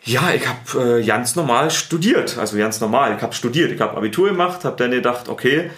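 A man with a Berlin accent, a sports TV presenter and editor, answering interview questions in a relaxed, chatty way with many fillers. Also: medium pitch, brisk, roomy sound. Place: studio.

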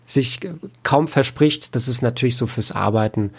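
A man's voice: mid-range voice, moderate pace, vocal fry, husky. A man answering casually in an interview, explaining his work in a reflective way. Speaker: audiobook editor and producer, interview guest.

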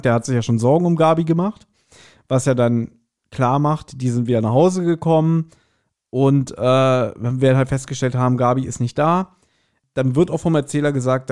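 A man co-hosting a podcast, casually recapping a story and acting out bits of dialogue as he retells it. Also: medium pitch. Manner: animated retelling with acted-out quotes. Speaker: podcast co-host. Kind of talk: casual podcast chat recapping an audio drama.

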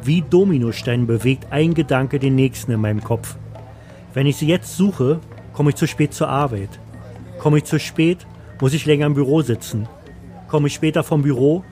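A man's voice: on the high side, normal speed, a little mellow. A man narrating a scripted first-person story. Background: music.

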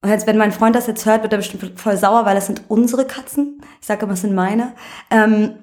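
A woman from Berlin talks casually as an interview guest. Her voice is of average pitch. She tells personal stories in colloquial, candid language, as in a chat between acquaintances. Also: fairly even intonation, a little fast, a little mellow. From Berlin, Germany. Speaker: interview guest, music promoter.

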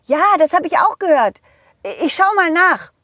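A woman's voice: very high voice, big pitch swings, normal speed, sharp tone, no echo, studio quality, pitched above the performer's own voice, heavily acted. A woman voicing a confident, friendly dog hero in a children's audio story, eager, playful and reassuring. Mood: helpful, eager.

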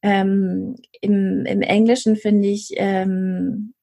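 A woman's voice: medium pitch, little pitch movement, drawn-out words, creaky, mellow. A woman co-hosting a podcast, chatting casually about books and hesitating often with fillers and restarts. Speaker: podcast co-host. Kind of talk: casual book chat.

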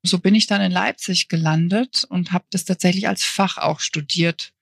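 Gravelly-voiced woman, thoughtful journalist guest. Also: lowish voice, fairly even intonation, moderate pace, no echo. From Bavaria, Germany. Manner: thoughtful, frank, hedges with "ich glaube". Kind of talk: reflective interview answers.

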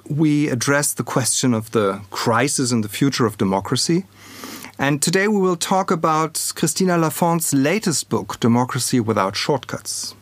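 A man with a German accent hosting an academic podcast, speaking in a measured, formal way as he introduces and questions a guest. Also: medium pitch, dry recording. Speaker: podcast host; political scientist.

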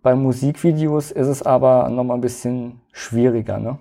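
Gravelly, mellow podcast host. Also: male voice, mid-range voice, moderate pace. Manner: brief affirmations, calm questions. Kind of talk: podcast interview.

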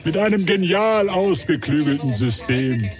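An actor narrating a comic adventure as a theatrical, mock-dramatic storyteller in an audio play. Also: male voice, fairly high pitch, lively intonation, unhurried, a crowd in the background. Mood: cosy, savouring.

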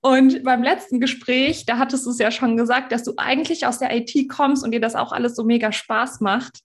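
A woman's voice: fairly high pitch, steady tone, fairly quick. A woman hosting a podcast in a casual, chatty, informal way with effusive wording. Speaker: podcast host and personal development coach. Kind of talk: casual podcast interview talk.

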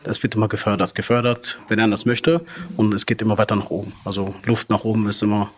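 A man's voice: on the low side, steady tone, fast. A young man, a restaurant manager, talking casually in an interview with frequent fillers and restarts.

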